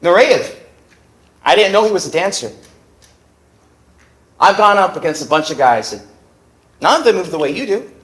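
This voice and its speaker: A man's voice: sits high, big pitch swings, moderate pace, pauses often, a bit bright. An actor playing a cocky, tired young male fencer who talks in a teasing, flippant way, on a theater stage. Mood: probing. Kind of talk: stage play acting.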